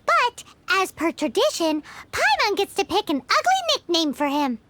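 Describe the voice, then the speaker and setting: A woman's voice: very high-pitched, wildly swooping pitch, moderate pace, heavily acted. A woman voicing a tiny, bratty floating female companion who whines and talks about herself by name. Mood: annoyed. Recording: noisy game audio.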